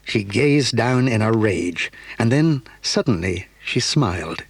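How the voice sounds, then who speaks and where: A man's voice: fairly low pitch, animated, slow pace, vocal fry, husky, dry recording. A man reading scripted narration for a children's story as the narrator.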